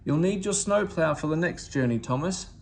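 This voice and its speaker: A man acts out a stern, careworn engine driver in a children's tale. He is firm and scolding, with a varied pitch and some fry in the voice. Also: animated, normal speed, slight background noise. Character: stern, worried adult male engine driver. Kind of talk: children's storybook character voice.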